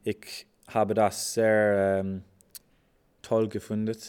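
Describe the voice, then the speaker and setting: A young man is a guest, answering questions in hesitant learner's German. He speaks very slowly and pauses often to search for words. His voice is smooth and fairly low. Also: level tone, a little mellow. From Ireland. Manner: halting, many fillers, searching for words. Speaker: exchange student guest. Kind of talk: casual interview in German as a learner.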